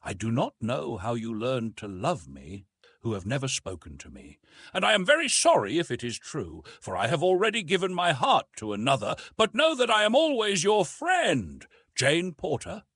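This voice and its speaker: Male narrator as gracious young woman, heartfelt, formal, tender, raised swinging pitch, storybook letter. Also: huge pitch swings, moderate pace, a bit bright, breathy edge, dry recording, studio quality, exaggerated voice. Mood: tender, regretful.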